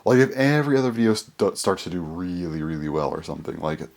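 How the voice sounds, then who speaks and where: A young man with a hoarse, worn-out voice, chatting casually in a rambling way to his channel's viewers. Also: deep voice, expressive, quick pace, a little mellow. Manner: rambling, full of fillers and restarts. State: hoarse, voice mostly gone. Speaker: YouTuber. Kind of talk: casual vlog chat.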